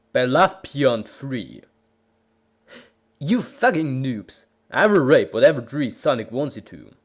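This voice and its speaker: A man giving an over-the-top, bombastic dramatic reading of a story as an amateur narrator. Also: medium pitch. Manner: theatrical, bombastic, swinging from whispers to shouts. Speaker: amateur reader of fan fiction.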